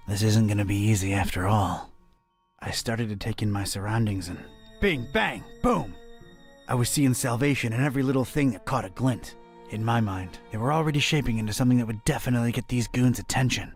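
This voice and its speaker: A man's voice: medium pitch, moderate pace, natural voice. Wry, cynical hard-boiled detective played by a man. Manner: wry, world-weary. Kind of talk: noir-parody narration in cartoon adventure game. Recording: music under the voice.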